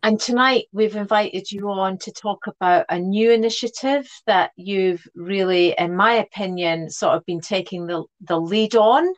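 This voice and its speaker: A woman's voice: mid-range voice, unhurried, few pauses. A woman co-hosting a podcast as a speech therapist, leading a conversational interview with friendly questions and small restarts.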